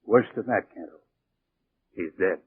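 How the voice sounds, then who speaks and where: An actor playing a gruff, plain-spoken bush pilot in a 1940s radio drama, talking colloquially with hesitant pauses. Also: male voice, low-pitched, no room sound, full character voice. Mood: grim. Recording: very noisy, tinny 1940s radio recording.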